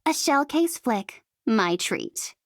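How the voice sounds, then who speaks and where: A woman's voice: fairly high pitch, sing-song, slow pace, dry recording, clean studio sound. A woman voicing a cocky, bloodthirsty female mercenary in a video game, with a sarcastic, teasing and playfully menacing delivery. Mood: wry, playful. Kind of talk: video game character voice lines.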